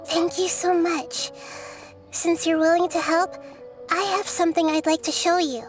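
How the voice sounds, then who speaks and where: A woman voicing a small, gentle, wise child goddess in a video game, speaking softly and thoughtfully. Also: very high voice, level tone, normal speed, faint music behind. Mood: grateful. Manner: soft-spoken, thoughtful, articulate. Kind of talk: video game character acting.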